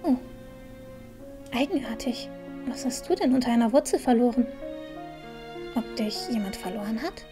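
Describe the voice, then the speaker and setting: A woman's voice: on the high side, expressive, moderate pace, long pauses, music in the background, natural voice. A young woman playing a proud, stubborn young heroine in a fantasy audio drama, talking dramatically to herself. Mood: curious. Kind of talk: fan-made fantasy audio drama acting.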